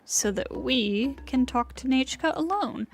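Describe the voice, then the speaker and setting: A woman's voice: high voice, animated, a little slow, slight background noise. A woman giving casual commentary as she streams a video game. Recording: game music behind the voice.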